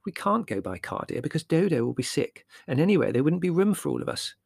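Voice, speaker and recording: A man's voice: on the high side, very animated, fast, vocal fry, darkish tone, no room sound, studio quality, voice pushed up, exaggerated voice. A man voicing a fussy, irritable middle-aged mother in a storybook, explaining patiently and then snapping. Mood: earnest, insistent.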